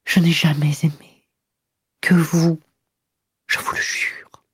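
A man's voice: high-pitched, fairly even intonation, moderate pace, a little mellow, breathy edge, no room sound, pitched above the performer's own voice. A man voicing a middle-aged married woman who confesses a secret love in a breathless, tearful whisper. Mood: anguished.

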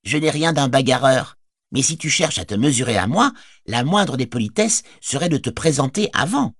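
A man's voice: mid-range voice, expressive, normal speed, a bit bright, no echo, studio quality, pitched above the performer's own voice. A man voicing a bold, cheeky young male hobbit who teases with playful mock boasting, in an audiobook reading. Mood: friendly. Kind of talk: audiobook character voice.

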